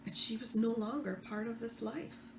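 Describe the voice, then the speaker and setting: Woman, author read-along, expressive story narration, occasional stumbles, roomy sound, faint background noise. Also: on the high side, normal speed. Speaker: author reading own book. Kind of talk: read-aloud fiction narration.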